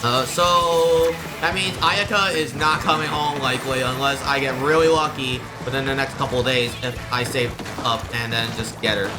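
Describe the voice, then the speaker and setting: A man's voice: medium pitch, steady tone, normal speed, hardly any pauses, music in the background. A man live streaming a game, chatting casually with viewers in an informal, rambling, exclamatory way.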